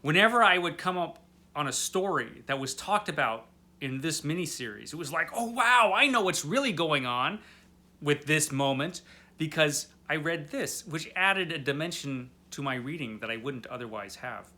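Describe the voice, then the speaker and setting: Kinda drunk guy doing a rambling review, bright highish voice, tongue in cheek. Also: animated, moderate pace. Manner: tipsy, rambling. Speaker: host of a comic book read-along. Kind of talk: casual rambling review to camera.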